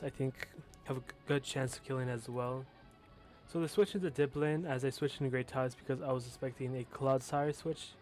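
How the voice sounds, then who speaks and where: Casting note: young guy with a noticeable lisp, relaxed and offhand, faint music behind. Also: medium pitch. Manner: casual, with fillers and swearing. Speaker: competitive game commentator. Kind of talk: casual match commentary.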